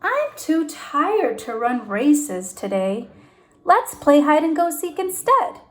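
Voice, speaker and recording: A woman voicing a gentle, polite, kindly elderly zookeeper man in a children's storybook. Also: high voice, very animated, takes it slowly, voice pushed up, heavily acted. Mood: weary.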